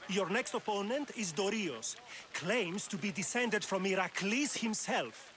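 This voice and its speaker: High-voiced fight official. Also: male voice, lively intonation, unhurried, fairly bright tone, slight background noise. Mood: challenging. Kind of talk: video game dialogue.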